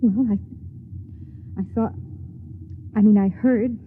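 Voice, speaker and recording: An actress playing an anxious, desperate young wife in a 1950s radio drama, speaking hesitantly and wistfully. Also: female voice, medium pitch, fairly even intonation, background noise. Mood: resigned, anguished. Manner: hesitant, halting. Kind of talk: 1950s radio drama acting, with second-person narration. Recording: tinny 1950s radio recording, music behind.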